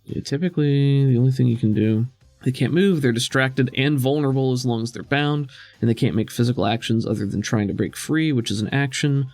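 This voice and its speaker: A man's voice: medium pitch, darkish tone, no echo. Casual man running a tabletop game, dry sound. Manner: casual, conversational. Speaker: game master. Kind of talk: narrating and explaining a tabletop game.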